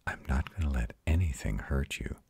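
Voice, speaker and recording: A man as a very deep, husky vampire lover. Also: animated, dry recording, heavily acted. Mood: reassuring. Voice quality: very deep, husky, often breathy whispering. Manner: slow, hushed, soothing. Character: arrogant male vampire, tender and protective lover. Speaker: voice actor. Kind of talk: intimate audio roleplay, ASMR-like acting.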